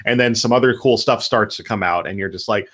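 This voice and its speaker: A man's voice: lowish voice, fairly quick, fairly bright tone. A man in his thirties eagerly explaining how a card game works in a casual video-call chat, laughing easily. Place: home room. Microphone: headphones with studio mic on a video call.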